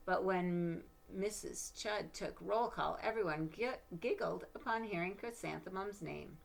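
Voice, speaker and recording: Woman reading a kids picture book out loud, lowish voice, chats to listeners in little asides. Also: a little slow, creaky. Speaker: storybook reader. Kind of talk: read-aloud children's story with casual asides to listeners.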